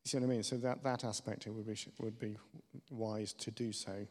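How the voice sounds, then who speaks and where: A man from West Germany giving spontaneous pastoral advice, halting and rephrasing as he explains. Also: fairly low pitch, level tone. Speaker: church panel speaker answering audience questions. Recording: reverberant hall.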